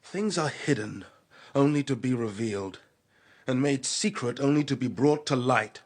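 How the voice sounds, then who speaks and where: An actor playing a proud, charismatic young god in a classical radio drama, speaking in a solemn, measured, incantatory way. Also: male voice, medium pitch, natural voice. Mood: serene, preaching.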